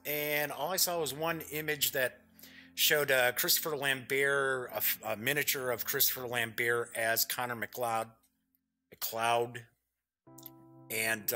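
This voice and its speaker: Bright-voiced man hosting a gaming show. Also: a little high. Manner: folksy, chatty, rambling. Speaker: host of an online gaming show. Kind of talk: casual talk to viewers.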